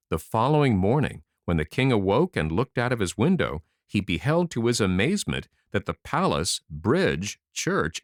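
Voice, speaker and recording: Animated low-voiced man reading a fairy tale. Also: no echo, studio quality. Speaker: audiobook narrator. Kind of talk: expressive fairy-tale narration.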